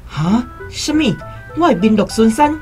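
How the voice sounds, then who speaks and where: Woman playing a hot-headed young scholar, swooping pitch. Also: expressive, normal speed, mellow, soft background music, close to an everyday voice. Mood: incredulous. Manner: indignant, challenging. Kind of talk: Taiwanese radio drama acting.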